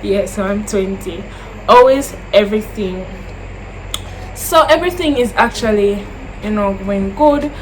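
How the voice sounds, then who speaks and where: A woman's voice: mid-range voice, unhurried, a little hiss or hum, rough recording. A twenty-year-old woman with a Jamaican accent, answering viewer questions in a casual chat to camera, slipping into Creole phrasing and repeating herself as she talks.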